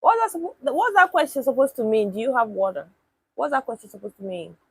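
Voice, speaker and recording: A young woman talks straight to her live chat in a husky, breathy voice, pitched a little high. She is blunt and swears freely when she answers comments. The sound is dry and close, with no room echo. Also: expressive, quick pace. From Nigeria. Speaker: live streamer. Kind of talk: casual live stream chat.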